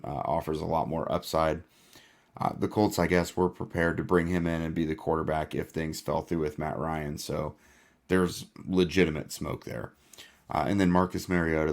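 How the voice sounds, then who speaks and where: A man hosting a casual sports podcast, hoarse and losing his voice, with a laid-back delivery full of "uh" fillers. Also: extremely low pitch. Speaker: podcast host.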